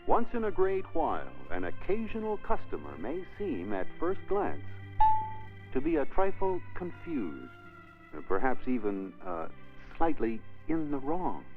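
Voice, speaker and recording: An actor playing a pompous, mild-mannered lecturer giving a formal, tactful scripted address in a 1960s training film. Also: male voice, mid-range voice. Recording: tinny 1960s film soundtrack, faint music.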